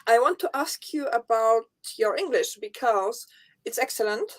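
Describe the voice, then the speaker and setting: A woman's voice: a little high, lively intonation, normal speed. Polish-accented woman hosting a chat. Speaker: host. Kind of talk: casual interview chat.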